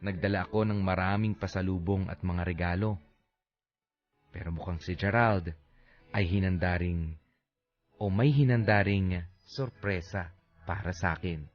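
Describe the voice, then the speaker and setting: A man's voice: deep voice, unhurried, long pauses, lo-fi sound. An actor playing a heartbroken man who recounts his life story in dramatic radio narration. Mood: wistful.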